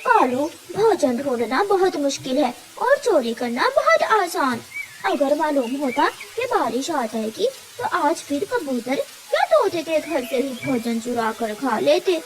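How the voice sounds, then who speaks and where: A woman's voice: sits high, very animated, unhurried, background noise. A woman voicing a scheming, easily frightened female crow in a cartoon, with a whining manner. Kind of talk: children's cartoon dialogue.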